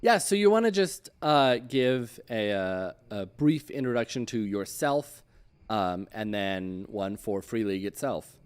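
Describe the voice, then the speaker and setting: A man with a fairly low voice hosts an interview show. He speaks casually and haltingly, feeling his way through introductions and questions. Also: expressive, clear, resonant tone. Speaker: show host.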